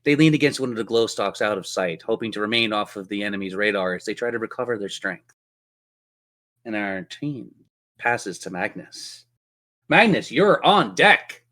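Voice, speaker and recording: A man's voice: on the low side, animated, moderate pace, bright, forceful tone. A man running a tabletop role-playing game as game master, narrating with playful, theatrical turns of phrase.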